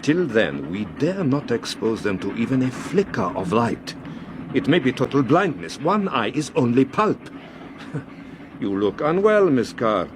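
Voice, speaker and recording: Radio drama villain: courteous doctor, measured menace, expressive mid-pitched delivery, noisy train setting. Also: male voice. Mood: menacing. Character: sinister, smooth doctor menacing a young woman. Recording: clear background train noise.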